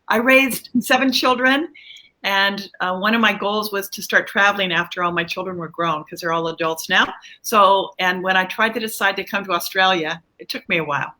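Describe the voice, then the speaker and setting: Very bright-voiced mature woman, chatting. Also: medium pitch. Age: middle-aged or older. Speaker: guest children's author. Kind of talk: casual interview chat.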